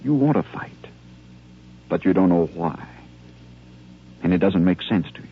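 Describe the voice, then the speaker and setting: A male actor playing an earnest army lieutenant who steadies his men in measured, rhetorical phrases, in a 1950s radio drama style. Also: low-pitched, expressive, a little hiss or hum. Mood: firm, challenging. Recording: muffled old 1950s radio recording.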